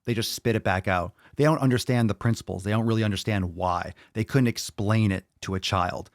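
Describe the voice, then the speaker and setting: A man's voice: on the low side, brisk, no echo, clean studio sound. Blunt, profane man ranting on a podcast. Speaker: podcast host. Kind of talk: solo podcast rant.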